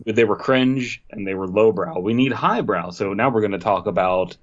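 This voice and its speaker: A man's voice: lowish voice, normal speed, highs cut off. A man co-hosting a comedy podcast with irreverent, sweary mock-lecturing. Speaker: podcast co-host. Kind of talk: crude comic banter, mock lecture.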